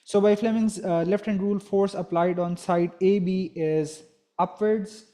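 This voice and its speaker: A man's voice: high-pitched, steady tone, unhurried, dark tone. A young man giving a physics lesson, explaining step by step and often checking in with a "right?". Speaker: physics teacher.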